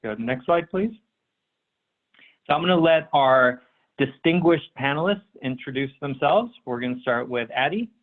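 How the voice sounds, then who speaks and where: A man moderating a business webinar panel. He pauses often between phrases. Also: medium pitch, animated. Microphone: remote call audio. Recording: tinny phone-like line.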